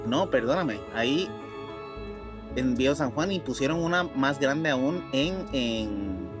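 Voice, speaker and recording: Guy chatting on a podcast, slangy, mid pitch, light music under him. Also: expressive, takes it slowly. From Puerto Rico. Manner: informal and slangy. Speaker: podcast co-host. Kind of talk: casual chat.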